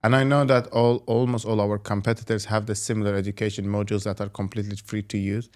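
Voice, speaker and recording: Brief: male interview host, lowish voice, loose chatty questioning. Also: little pitch movement, quick pace. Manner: rambling questions full of "you know" and "like". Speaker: host and company executive.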